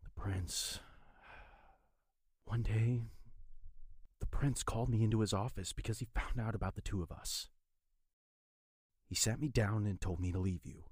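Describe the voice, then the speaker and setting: A woman playing an earnest, wounded young man pleading with a former love in an audio drama, husky and intense. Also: extremely low pitch, a little slow, pauses often, a little mellow, dry recording, studio quality, full character voice. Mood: grave, earnest.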